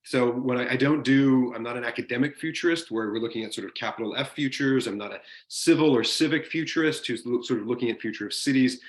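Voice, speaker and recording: A man giving an informal seminar talk over a video call, lively and enthusiastic, often restarting his sentences. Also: mid-range voice, fast, hardly any pauses, echoey room. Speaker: guest speaker in a university seminar.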